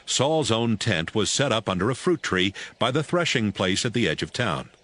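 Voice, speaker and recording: Low-voiced male scripture narrator. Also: big pitch swings, fairly bright tone. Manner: measured, storytelling.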